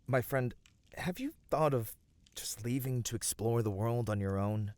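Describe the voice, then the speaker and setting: A man voicing a gentle, noble fantasy adventurer in an ASMR roleplay, speaking soothingly and earnestly in formal, old-fashioned phrasing. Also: mid-range voice, unhurried, no echo, natural voice. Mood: gentle concern. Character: gentle, noble, reassuring male fantasy adventurer comforting a weary friend. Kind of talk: ASMR fantasy roleplay, scripted intimate voice acting.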